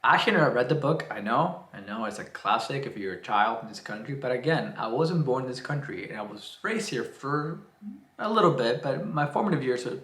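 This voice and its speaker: A man's voice: medium pitch, expressive, fairly quick, fairly bright tone. A man with a Cuban accent talking casually to the camera as a book vlogger, chatty and rambling. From Cuba. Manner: chatty and rambling, with frequent uh and restarts. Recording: roomy echo.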